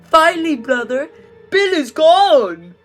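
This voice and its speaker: A woman's voice: very animated, measured pace, fairly bright tone, faint music behind, heavily acted. A woman voicing a hysterical young man who shrieks and panics beside his brother. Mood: triumphant. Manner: shrill and panicky.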